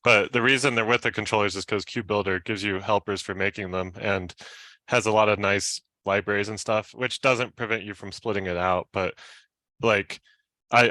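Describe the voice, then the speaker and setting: A man's voice: fairly low pitch, sharp tone, dry recording. A man giving a casual technical explanation among colleagues, in informal phrasing. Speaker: software engineer in a team meeting.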